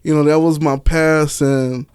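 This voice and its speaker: A man's voice: fairly high pitch, level tone, unhurried, darkish tone, smooth, no echo, studio quality. A man from Miami talking as a guest in a casual podcast chat, candid and slangy. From Miami, United States. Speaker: interview guest, author and stage play director.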